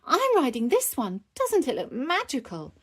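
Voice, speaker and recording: A woman plays a bubbly little piglet girl in a storybook. The voice sits high and swoops with eagerness, every line exclaimed. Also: big pitch swings, brisk, darkish tone. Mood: eager. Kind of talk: children's storybook character reading.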